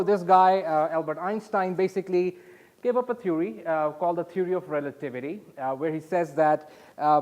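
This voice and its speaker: A man with a fairly high voice speaks as a conference presenter from Saudi Arabia. He explains things haltingly, often stopping to restart, and poses questions to his listeners.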